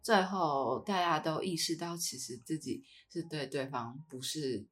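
A woman's voice: deep voice, measured pace, a bit bright, faint music behind. A woman with a Taiwanese Mandarin accent hosting a podcast in a casual, conversational way. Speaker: podcast host.

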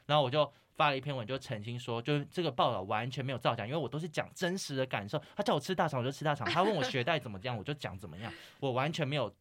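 A young man with a Taiwanese Mandarin accent chatting casually as a podcast host, telling stories in an animated way with English words mixed in. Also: mid-range voice, rapid-fire, few pauses. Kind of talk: casual anecdote chat.

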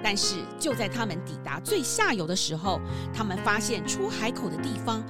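A woman narrating a children's story in an expressive, suspenseful way. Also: mid-range voice, a little fast, over loud music.

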